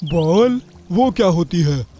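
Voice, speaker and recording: A man voicing a menacing, bossy bear with a deliberate delivery in a children's cartoon story. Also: sits high, lively intonation, warm tone, a little hiss or hum, pitched above the performer's own voice. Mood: suspicious. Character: menacing male bear, slow, bossy bully. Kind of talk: Hindi children's animated story.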